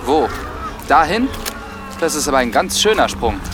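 An actor playing an earnest, troubled village priest who speaks formally in a German radio drama. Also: male voice, fairly high pitch, big pitch swings, normal speed, background noise. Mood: dismayed.

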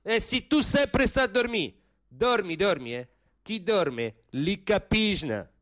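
An actor playing a young Romanian immigrant labourer in Rome, proud and teasing, speaking broken Italian with a Romanian accent in a radio play. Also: male voice, sits high, expressive, slow, fairly bright tone, exaggerated voice. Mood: needling. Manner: broken Italian with missing articles and verbs. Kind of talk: stage radio drama dialogue.

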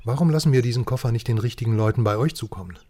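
An actor playing a streetwise, eager reporter in a radio crime drama, chatting in a colloquial, teasing way. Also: male voice, medium pitch, racing, mellow, close to an everyday voice. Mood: teasing, probing. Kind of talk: German radio crime drama, casual dialogue.

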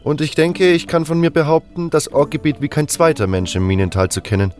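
A man's voice: on the high side, fairly quick, darkish tone, voice pushed up, close to an everyday voice. A man voicing a seasoned, pragmatic scout, self-assured and no-nonsense, in a fantasy audio drama. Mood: confident, wry. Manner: assertive, no-nonsense. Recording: music under the voice.

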